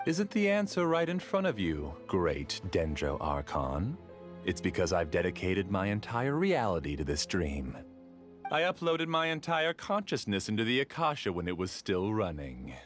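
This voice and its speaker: Deep, theatrical, courteous male scholar. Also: wildly swooping pitch, moderate pace, music in the background. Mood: proud, fervent. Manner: formal, theatrical, self-assured. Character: obsessive, courteous male scholar who owns a dream world. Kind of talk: anime video game voice acting.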